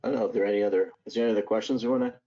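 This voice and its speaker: Low-voiced male interviewer. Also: fairly even intonation, very fast. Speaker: host, interviewer. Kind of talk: casual live interview questioning. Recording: muffled lo-fi online call.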